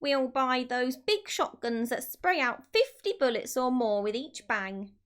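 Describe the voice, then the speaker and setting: Woman as a high, nasty storybook wife. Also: expressive, a little slow, a bit bright. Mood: gleeful. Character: nasty scheming wife with long yellow teeth. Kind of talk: children's storybook read-aloud.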